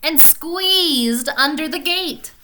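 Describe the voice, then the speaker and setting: A woman's voice: high voice, slow, slight background noise. A woman reads a children's storybook aloud expressively, as if to young children, adding casual asides. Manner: expressive, child-directed delivery.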